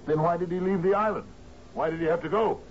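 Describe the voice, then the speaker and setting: An actor in a radio drama playing an anxious, genial older island captain, halting and stammering as he pleads. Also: high voice, natural voice. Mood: anxious, pleading. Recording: muffled, hissy old radio broadcast.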